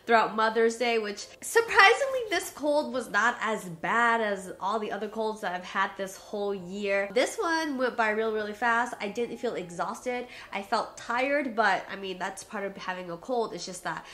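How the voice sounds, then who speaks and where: A woman's voice: medium pitch, expressive, moderate pace, hardly any pauses, bright, forceful tone. A young adult woman vlogging to camera in a chatty, rambling, upbeat way, her voice nasal and stuffed up from a cold. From USA. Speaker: YouTuber. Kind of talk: casual vlog talk to camera.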